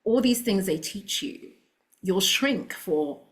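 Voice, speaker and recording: A woman's voice: medium pitch, expressive, slow. A woman with a London accent, a podcast guest talking in an earnest, motivational way. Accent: London English. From London, England. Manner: earnest and motivational, with a few laughs. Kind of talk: casual interview chat.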